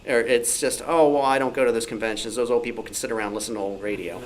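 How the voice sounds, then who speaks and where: A man's voice: medium pitch, steady tone, very fast, rough recording. A man talking informally and answering questions as a speaker at a club meeting. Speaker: club meeting speaker, author and convention organizer.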